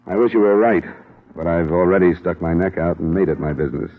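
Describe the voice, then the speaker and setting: Deep-voiced hard-boiled radio detective. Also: male voice, quick pace. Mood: insistent. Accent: American. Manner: terse, probing, hard-boiled. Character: dogged, calm male private investigator, adult. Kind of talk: 1950s radio detective drama acting, first-person narration and dialogue. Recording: muffled, lo-fi old radio recording.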